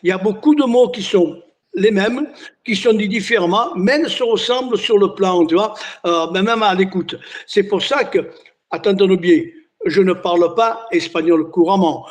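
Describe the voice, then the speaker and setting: An older man born in Italy, Italian his first language, chats as an interview guest. His voice sits high, and he wanders through anecdotes with false starts and little "hein" tags. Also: moderate pace. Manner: chatty storyteller, many restarts and "hein". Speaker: singer and entertainer, guest. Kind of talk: casual interview chat.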